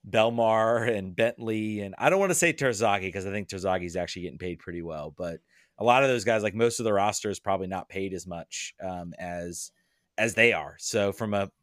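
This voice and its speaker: Rambling soccer fan, low dry voice. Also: male voice, fairly quick, no echo. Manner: rambling, many restarts and fillers. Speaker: podcast guest, soccer fan. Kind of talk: casual podcast chat.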